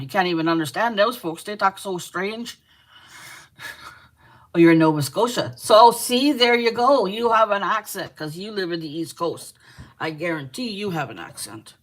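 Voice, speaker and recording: A middle-aged Canadian woman hosting a live crochet stream, chatting casually, teasing and laughing often while she reads viewer comments aloud. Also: on the low side. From Manitoba, Canada.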